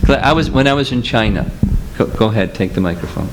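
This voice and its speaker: A man's voice: on the low side, expressive, quick pace, slight background noise. A man giving a seminar talk as a spiritual teacher, explaining patiently with examples and answering questions. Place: seminar room with audience.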